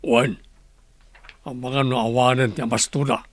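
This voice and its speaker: A man's voice: mid-range voice, level tone, measured pace, dry recording, lightly acted. A man playing a bossy, secretive crime boss who gives curt orders in a radio drama. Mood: curt, commanding. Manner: curt, commanding. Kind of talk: Ilocano radio drama acting.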